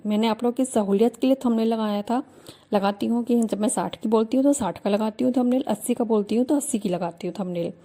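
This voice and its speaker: Mellow-voiced woman reading slow dictation. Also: on the high side. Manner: measured, deliberate phrasing with pauses between word groups; firm, earnest, mildly aggrieved. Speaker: shorthand dictation teacher. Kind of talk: casual direct address to students.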